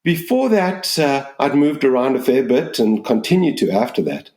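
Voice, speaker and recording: A man in his sixties from Zimbabwe with a mixed Southern African accent, answering interview questions in a measured, explanatory way. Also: mid-range voice, very animated, a little mellow, echoey room. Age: 60s. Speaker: business school dean, interview guest.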